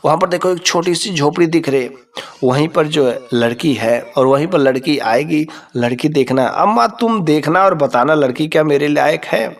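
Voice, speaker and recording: A cruel, scheming eldest brother acted out by a male storyteller in a folk tale, persuasive and menacing. His voice sits fairly high and sounds a little away from the mic. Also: normal speed, recorded from a distance, natural voice. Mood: false coaxing. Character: scheming, cruel eldest son plotting against his mother.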